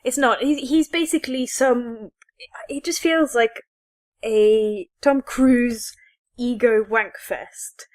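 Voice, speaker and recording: A woman's voice: a little high, slow, darkish tone. A woman co-hosting a podcast, chatting casually about films in a sarcastic way and acting out imagined dialogue. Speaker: podcast co-host. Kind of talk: casual geek chat, movie review.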